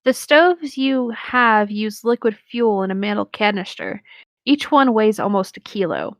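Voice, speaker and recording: A woman's voice: mid-range voice, big pitch swings, a little slow, dry recording. A woman co-hosting a story reading, narrating in the first person with brief casual reactions. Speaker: co-host reading stories aloud.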